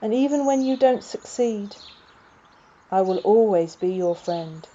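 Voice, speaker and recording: Woman voicing a patient young bunny, lively intonation. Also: mid-range voice, unhurried, dull audio. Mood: earnest, reassuring. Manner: earnest, reassuring, gently chiding. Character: loyal, patient young bunny, son of a rabbit. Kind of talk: children's storybook character reading.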